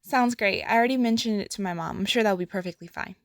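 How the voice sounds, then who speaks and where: A woman's voice: medium pitch, fast talker, faint music behind, natural voice. A woman playing a polite, earnest teenage girl in an amateur audio drama, sweet and devout. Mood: friendly.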